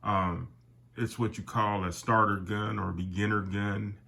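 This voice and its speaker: An older man with a somewhat low voice, nasal and stuffy from a summer cold. He rambles casually to his viewers with plenty of fillers and restarts, calling them "guys" as he shows off items from his collection. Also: little pitch movement, a little slow, vocal fry, sharp tone. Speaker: airgun collector and channel host. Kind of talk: casual product talk to camera.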